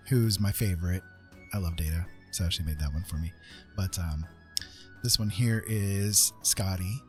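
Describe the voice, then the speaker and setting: Chatty man hosting a casual livestream. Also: on the low side. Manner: welcoming, chatty. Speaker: live art streamer and host. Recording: faint music behind.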